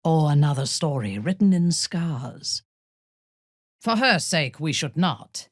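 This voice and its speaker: A ruthless dark elf noblewoman for a fantasy video game. She is haughty and commanding, with a husky, breathy voice. Her delivery is slow and deliberate, swinging widely in pitch, and the sound is close and dry. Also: animated, no room sound, heavily acted. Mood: solemn. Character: imperious, ruthless dark elf noblewoman warrior, coldly devoted.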